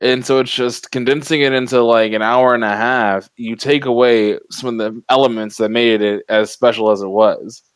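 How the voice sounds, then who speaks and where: A man's voice: medium pitch, steady tone, moderate pace, few pauses, clean tone, dry recording. Man chatting emphatically, close dry sound. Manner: opinionated, emphatic, casually profane. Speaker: podcast co-host. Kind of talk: casual panel chat.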